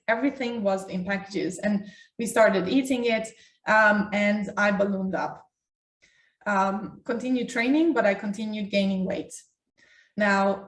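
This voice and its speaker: Echoey distant mic, lady doctor chatting about health to online viewers, deliberate and emphatic. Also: mid-range voice. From Ukraine. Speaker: doctor co-hosting a health talk. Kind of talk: conversational health talk to an online audience.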